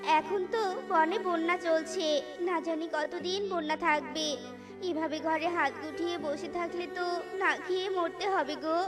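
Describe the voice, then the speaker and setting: A woman's voice: very high voice, steady tone, unhurried, music in the background. A woman voicing a worried, devoted female bird who frets about the household. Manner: fretful, pleading. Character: Tuni, anxious devoted wife bird.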